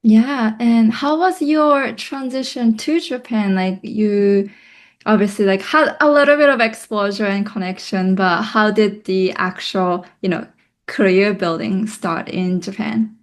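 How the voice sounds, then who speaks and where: Woman host, mid-pitched, casual questions full of "like" and "you know". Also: unhurried. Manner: attentive, many soft backchannels, filler-heavy questions. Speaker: interviewer. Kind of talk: interview questions.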